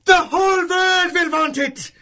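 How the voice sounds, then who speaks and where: A man's voice: very high-pitched, measured pace, bright, forceful tone, exaggerated voice. A man playing a pompous, indignant German scientist with a German accent in a pulp radio drama. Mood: outraged. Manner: indignant, bombastic. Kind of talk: 1930s pulp radio acting.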